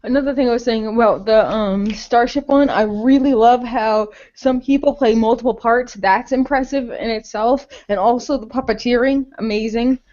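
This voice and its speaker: Young female podcast co-host, high register, gushing and slangy, muffled voice-chat sound. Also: fairly even intonation, moderate pace, hardly any pauses, a little mellow. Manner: gushing, enthusiastic; sweary, slangy.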